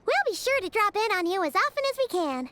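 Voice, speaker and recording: A woman's voice: very high-pitched, sing-song, fast, very noisy. A woman voicing a tiny, chirpy, curious and cheeky fairy-like companion in a video game, perky and exclamatory. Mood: upbeat, reassuring.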